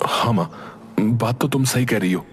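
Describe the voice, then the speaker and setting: A man's voice: medium pitch, normal speed, a little hiss or hum, close to an everyday voice. A man playing an earnest, determined young village son in a Hindi cartoon story, speaking respectfully. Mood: sincere. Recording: music behind the voice.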